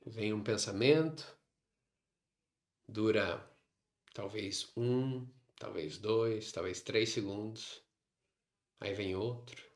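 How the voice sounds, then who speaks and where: A man with a Brazilian Portuguese accent leading a guided meditation, instructing in short phrases separated by long pauses. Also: on the low side. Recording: roomy, echoing sound.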